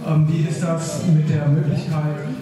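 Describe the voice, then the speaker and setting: A man's voice: fairly high pitch, level tone, a little slow. A man moderating a public meeting, cutting in firmly to move the questions along. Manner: firm, procedural. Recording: echoey hall, far off, crowd behind.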